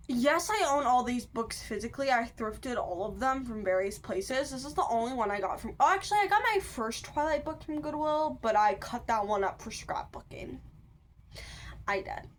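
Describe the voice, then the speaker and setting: 19 yo girl rambling about books, kinda high voice, says like a lot. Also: a bit bright, slight background noise. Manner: rambling, lots of "like" fillers, self-correcting asides. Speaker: book vlogger. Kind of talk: casual chatty book review rant.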